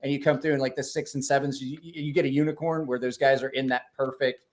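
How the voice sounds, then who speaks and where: A man's voice: medium pitch, little pitch movement, fast talker. A man talking casually as a livestream guest, rambling with many restarts and swearing.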